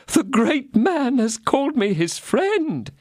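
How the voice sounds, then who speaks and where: A timid middle-aged mercer gushes in a thin, high, anxious voice, as a man puts him on. He sounds set back from the mic and swings his pitch widely. The recording is dry. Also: very animated, takes it slowly, no echo, heavily acted. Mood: awed. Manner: anxious, gushing, disbelieving.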